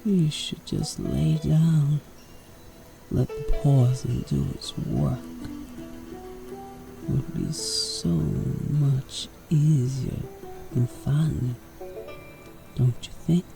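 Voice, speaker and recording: A man acts out a seductive Western villain with a creaky edge to the voice. The delivery is very slow, taunting and coaxing, with music playing behind it. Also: mid-range voice, expressive, voice pushed up, heavily acted, poor audio. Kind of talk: Western audio roleplay acting.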